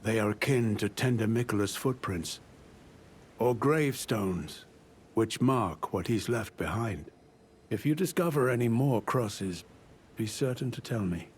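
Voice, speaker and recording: Elderly fantasy game knight, lowish voice, world-weary, scholarly, formal and polite, archaic wording, noisy rumbling background. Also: male voice, expressive. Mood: courteous, measured. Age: older. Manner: formal, archaic, measured, courteous. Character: courteous, world-weary old knight turned scholarly investigator. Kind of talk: video game character dialogue.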